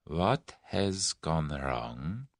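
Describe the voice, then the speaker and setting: A man voicing a sinister Russian ringleader who speaks softly, slowly and sibilantly. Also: medium pitch, sing-song, no room sound, clean studio sound, voice pushed up. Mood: soft menace. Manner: soft, sibilant, slow.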